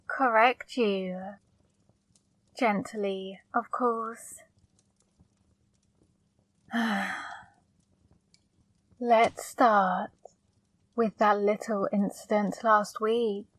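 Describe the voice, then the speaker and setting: A woman playing a flirty, teasing female demon in an intimate ASMR roleplay, speaking softly and breathily, coaxing and gently scolding up close. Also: mid-range voice, no room sound. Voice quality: soft, breathy, partly whispered. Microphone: close microphone, dry.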